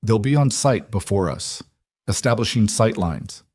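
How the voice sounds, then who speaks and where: A man's voice: lowish voice, lively intonation, unhurried, darkish tone, slightly rough, no room sound, studio quality. A man voicing a controlled, terse professional woman who gives commands in an audiobook thriller. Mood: terse, commanding.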